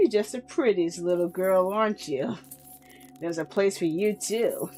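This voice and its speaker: A woman voicing a creepy man who speaks slowly with a low, oily sweetness. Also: mellow, soft background music, lightly acted. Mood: leering. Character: creepy man buying a young girl.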